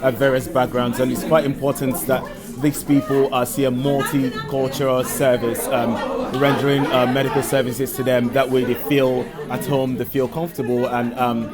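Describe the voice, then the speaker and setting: A man answers an interviewer informally and hesitantly. His middle-pitched voice varies little. A clear crowd is chattering around him. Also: little pitch movement, moderate pace. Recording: music and crowd noise. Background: music and chatter at busy event.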